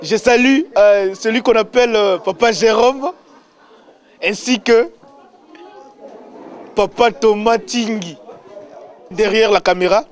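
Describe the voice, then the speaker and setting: A man hosting a religious interview in a halting, repetitive way. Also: high-pitched. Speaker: religious show host.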